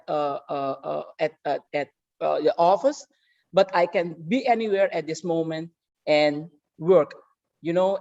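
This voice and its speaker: A woman from Suriname, an economist speaking as a podcast guest, halting and full of fillers and restarts. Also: on the low side, a little mellow, dull audio. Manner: hesitant, many fillers and restarts. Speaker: guest, economist and women-in-tech organizer. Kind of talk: podcast interview answer.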